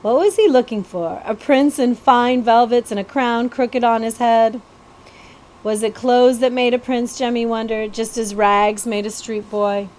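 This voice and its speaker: A woman's voice: fairly high pitch, steady tone, unhurried, darkish tone. A woman reading a children's novel aloud as a storybook narrator.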